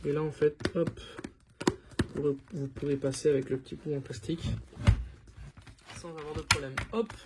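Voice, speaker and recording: A man's voice: a little high, fairly even intonation, normal speed, long pauses, heavy background noise. A man presenting a product in a casual hands-on tutorial, informal and thinking aloud as he goes.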